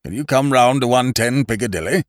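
A man's voice: mid-range voice, brisk, no echo, clean studio sound, pitched above the performer's own voice, lightly acted. A man reading a storybook as a fussy older male solicitor, formal and polite with a reproving streak. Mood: excited.

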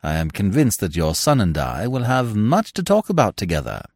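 A man acting a smooth-mannered young English gentleman from a storybook. He is unflappable and wordy, with measured, formal courtesy and a widely varied intonation in a dry, close recording. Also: on the low side, wildly swooping pitch, dry recording, full character voice. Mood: calm, courteous. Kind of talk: audiobook character voice.

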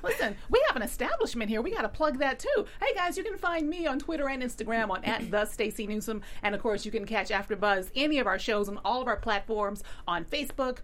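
A woman hosts a TV recap from a studio, bubbly and teasing, quick to laugh. Her voice sits fairly high. A faint hiss runs under it. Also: animated, a bit bright. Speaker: show host. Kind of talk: casual TV recap chat.

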